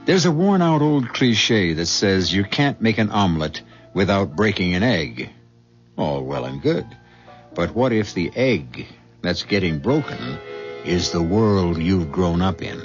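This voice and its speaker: Ominous, wry radio mystery host: very low, unhurried, portentous narration over music, dull vintage sound. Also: male voice, expressive. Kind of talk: scripted radio drama narration. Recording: dull 1970s radio recording, music behind.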